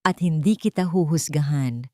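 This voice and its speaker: A woman voicing a gentle, patient man in a romantic audiobook drama, soft-spoken and coaxing. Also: deep voice, moderate pace, no echo, clean studio sound, close to an everyday voice.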